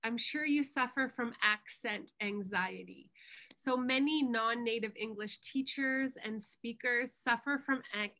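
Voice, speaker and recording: A woman's voice: a little high, sharp tone. A woman with a Canadian accent, a pronunciation coach giving an encouraging online workshop lesson over a video call. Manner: encouraging, unhurried teacher.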